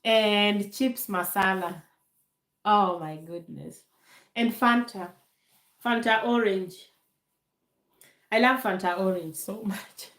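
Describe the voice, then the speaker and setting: A woman from Kenya hosting a casual live Q&A, calm and unhurried, laughing often, still getting over a bad cold. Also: medium pitch, echoey room. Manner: calm, slow, laughs often, many fillers. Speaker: livestream host. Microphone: phone held to camera.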